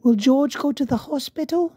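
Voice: high voice, moderate pace, mellow, dry recording, pitched above the performer's own voice, close to an everyday voice. A narrator voicing a curious, inquisitive little girl piglet in a storybook reading.

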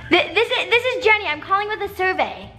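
A young woman with an excitable, giggly, gushing manner, hosting playful prank phone calls. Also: extremely high pitch, quick pace, faint music behind. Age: teenager or young adult. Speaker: prank-calling video host.

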